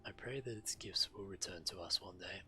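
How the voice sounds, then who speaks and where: A man's voice: low-pitched, fairly quick, pushed and bright, a little hiss or hum. A man reading video game quest text aloud as a streamer, acting out the quest givers' lines as he narrates.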